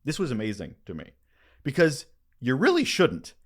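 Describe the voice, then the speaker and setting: A man hosting a podcast, delivering a conversational scripted monologue with emphatic, expressive phrasing and dramatic pauses. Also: medium pitch. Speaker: podcast host.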